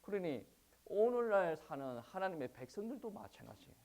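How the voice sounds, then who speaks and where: Lively Gyeongsang Korean pastor preaching. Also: male voice, high-pitched, normal speed. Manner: lively rhetorical questions, frequent "eo" fillers. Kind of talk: sermon. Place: church service.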